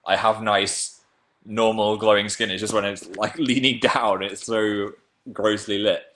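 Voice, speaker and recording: Deep-voiced man chatting on a livestream. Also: level tone, a little slow, a bit bright. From England. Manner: playful, jumps between high and low pitch. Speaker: livestream host. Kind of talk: casual live chat reading comments.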